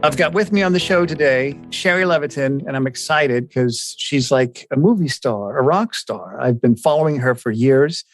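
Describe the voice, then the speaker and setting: A man with a medium-pitched voice hosts a podcast interview. He talks in an effusive, enthusiastic way, and the sound is dry with almost no room reflection. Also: moderate pace, few pauses, no echo, faint music behind. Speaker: podcast host.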